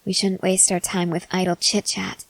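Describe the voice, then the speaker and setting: Girl voice acting a stoic young soldier woman, asmr roleplay, flat serious delivery, careful pauses, really dry audio. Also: fairly low pitch, creaky, dry recording, poor audio. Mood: cool, confident. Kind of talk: ASMR roleplay, acted scene to a listener.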